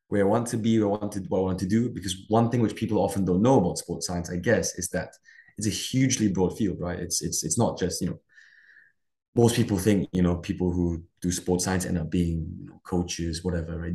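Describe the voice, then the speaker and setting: Low-voiced man from Malaysian Borneo, roomy. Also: darkish tone. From Sarawak, Malaysian Borneo. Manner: rambling, peppers speech with "you know" and "sort of". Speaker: guest. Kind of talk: conversational interview answers. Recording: roomy echo.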